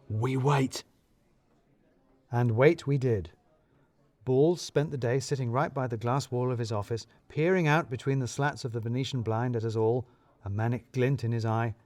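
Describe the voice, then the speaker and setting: A man narrating a scripted comic mystery in the first person, wry and expressive. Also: mid-range voice, normal speed, no room sound. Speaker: narrator of a serial mystery story.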